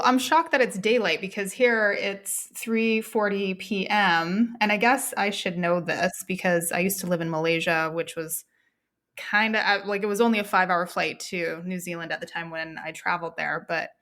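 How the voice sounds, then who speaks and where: A woman's voice: on the low side, normal speed, fairly bright tone. A woman hosting a podcast, talking in an enthusiastic, chatty way with a lot of gushing. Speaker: podcast host. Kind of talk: casual interview chat.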